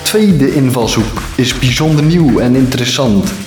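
Male podcast narrator, mid-pitched, solemn and deliberate, heavy echo on the voice. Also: animated. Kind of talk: scripted atmospheric narration. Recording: echoey voice over music. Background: music.

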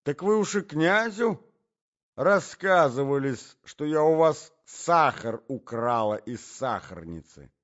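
Man acting a shrieking offended gentlewoman, high swooping pitch, drawn-out retorts, vindictive, storybook drama, dull recording. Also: expressive. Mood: wounded indignation. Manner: shrill, servile old-fashioned speech tics, drawn-out retorts.

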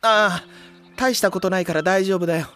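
A woman playing a genial, absent-minded gentleman with easygoing, courtly phrasing in a Japanese audio drama. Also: on the low side, fairly quick, slight background noise, lightly acted. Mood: flustered, apologetic.

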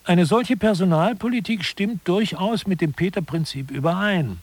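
A man's voice: high voice, moderate pace, husky, no echo. A man playing an articulate lecturer who explains mock-scholarly theory in a scripted satirical radio play. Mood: explanatory. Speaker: narrator.

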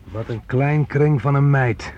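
A man's voice: mid-range voice, a little slow, a little mellow, lightly acted. An actor playing a volatile young fugitive who bullies his mother in a radio play, menacing and childishly needy. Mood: menacing, sneering. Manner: menacing, swings into childish pleading. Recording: muffled, noisy old radio play recording.

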